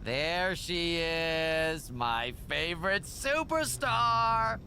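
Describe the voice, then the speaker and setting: A man's voice: on the high side, sing-song, drawn-out words, pushed and bright, slight background noise, heavily acted. An actor playing a nervous, fawning male wrestling manager who rambles anxiously in a video game cutscene. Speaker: wrestling show official.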